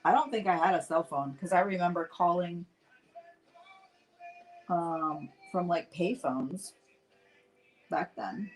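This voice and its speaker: A middle-aged woman chatting casually to livestream viewers as she tells a personal story, acting out bits of dialogue in an animated, informal way. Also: on the low side, slow, pauses often, soft background music, rough recording. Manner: chatty, animated retelling with acted-out dialogue and frequent "like"; addresses viewers directly. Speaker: host painting live while telling stories.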